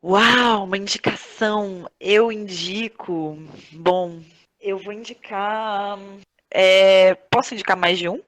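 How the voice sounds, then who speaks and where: A woman's voice: medium pitch, steady tone, takes it slowly, a bit bright. A woman with a Brazilian Portuguese accent chatting informally and effusively as an interview guest. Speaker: podcast guest, playwright, actress and director. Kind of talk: casual interview conversation.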